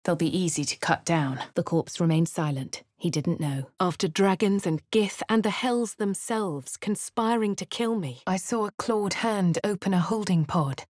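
Brief: posh English adventurer heroine, played by a woman, dry and crisp, a touch low, in a dry studio booth. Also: normal speed, hardly any pauses, a little mellow, no room sound, natural voice. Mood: wry. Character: posh, plucky female adventurer hero. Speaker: player character. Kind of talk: video game voice acting.

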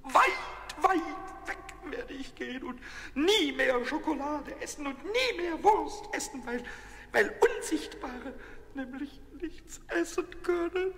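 Tiny cheeky whiny kobold, squeaky n scratchy, jumps all over, big echo and hiss, old kids audio play. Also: male voice, slow, full character voice. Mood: sulky, mournful. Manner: excitable, stammering, repeating words. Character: small mischievous male kobold, childlike, defiant and whiny. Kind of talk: German children's audio play acting.

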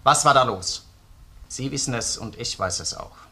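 A man's voice: mid-range voice, lively intonation, bright, forceful tone, husky, exaggerated voice. A man with an Austrian accent playing a relentless, sardonic police detective who questions a suspect insistently in a crime radio play. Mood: grave, accusing. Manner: probing, insistent, sardonic, deliberate phrasing. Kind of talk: German-language crime radio play acting.

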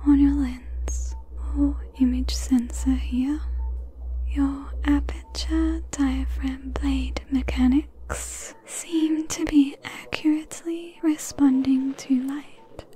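A woman playing a gentle, caring robot-repair nurse in an ASMR roleplay, with a soft breathy whisper. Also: high-pitched, steady tone. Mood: soothing, attentive. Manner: slow, soothing, reassuring.

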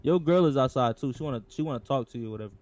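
A man's voice: mid-range voice, very fast, a loud crowd. A man improvising game roleplay as a police interrogator, talking in casual slang in a laid-back, repetitive way. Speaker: role-player acting as police interrogator.

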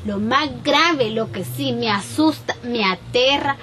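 A woman's voice: fairly high pitch, slow pace. A young Salvadoran woman playing a worried, indignant farm owner in an amateur sketch, emphatic and repeating herself as she explains. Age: young adult. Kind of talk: amateur improvised sketch acting. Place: outdoors in a rural yard. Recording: outdoor camera sound, faint ambient noise.

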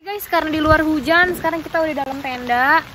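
A woman's voice: high-pitched, fast. A woman chatting casually to her viewers while camping outdoors, with music playing behind her. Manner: chatty, addresses viewers directly. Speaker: camping vlogger. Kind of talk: casual vlog chat to camera. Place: outdoors at a misty hill campsite and in a tent. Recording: talk over loud background music.

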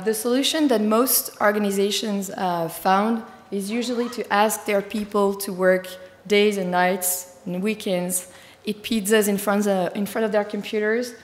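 A woman with a slightly hoarse, tiring voice giving a conference talk, fluent but with many fillers. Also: medium pitch, steady tone, moderate pace. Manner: fluent, filler-heavy explaining. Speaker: conference speaker. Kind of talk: conference presentation. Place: large conference hall. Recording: echoey hall with long reverb.

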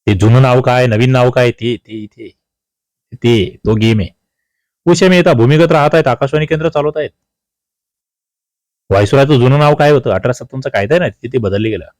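A male coaching teacher with a mid-pitched voice walks through exam questions. He is didactic, putting each question to the learner and then supplying the answer. The sound is dry, with very little room in it. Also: brisk, pauses often, a little mellow, no echo.

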